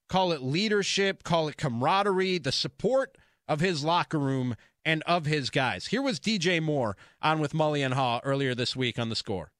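A male sports radio host with a high, forceful voice. He argues his opinions insistently and repeats phrases to drive them home. The sound is close and dry, as in a broadcast studio. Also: dry recording. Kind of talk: opinionated sports talk monologue. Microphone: close broadcast studio mic.

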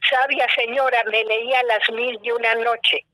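Bright-voiced older woman telling stories. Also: fairly high pitch, moderate pace, dry recording, low-quality recording. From Guatemala. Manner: warm storytelling, animated, playful. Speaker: guest, children's writer. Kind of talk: casual interview.

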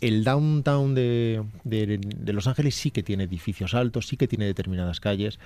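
A man, giving detailed, measured film commentary on a radio chat show. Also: on the low side, mellow.

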